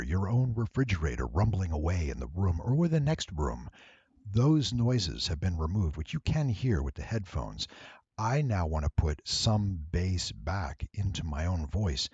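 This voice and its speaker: A man giving a casual, unscripted software tutorial in a deep, husky voice, with wry, playful asides. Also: very animated, measured pace, no echo, highs cut off.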